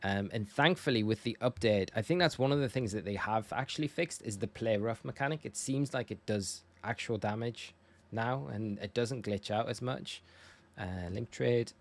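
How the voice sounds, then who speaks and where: Low-voiced northern English man, chatty streamer. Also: normal speed. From northeast England. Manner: chatty, thanking and addressing viewers informally. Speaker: live streamer. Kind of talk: casual livestream chat while gaming.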